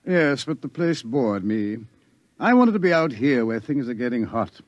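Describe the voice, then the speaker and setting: A man's voice: medium pitch, very animated, moderate pace, no room sound, dull audio, close to an everyday voice. A man playing a hesitant, grave professor. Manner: hesitant, explaining. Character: male professor, scientist, wry and grave. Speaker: scientist. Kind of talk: 1950s radio drama acting.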